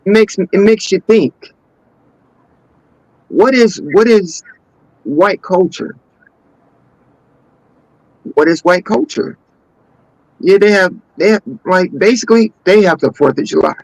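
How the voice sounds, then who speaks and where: An American man in a casual, opinionated panel discussion, making his points deliberately and insistently, repeating words for stress. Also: high-pitched, creaky, no room sound, rough recording. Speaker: panelist on a talk show.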